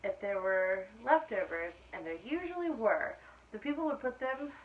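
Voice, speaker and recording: A woman reading a picture book aloud to young children like a teacher, with expressive storytelling delivery. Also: mid-range voice. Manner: expressive storytelling, pausing to ask children questions. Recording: dull lo-fi sound with clear background noise.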